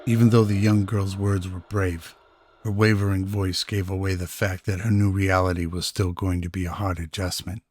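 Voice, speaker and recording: A man's voice: sits low, little pitch movement, normal speed, a little mellow. A man reading scripted narration for a radio-style drama. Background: music.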